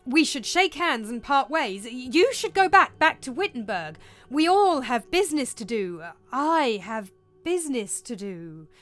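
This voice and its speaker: A woman's voice: a little high, normal speed, hardly any pauses, fairly bright tone, clean tone, faint music behind, lightly acted. A woman voicing a distraught, vengeful young prince in a storybook reading, urgent and emphatic. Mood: shaken.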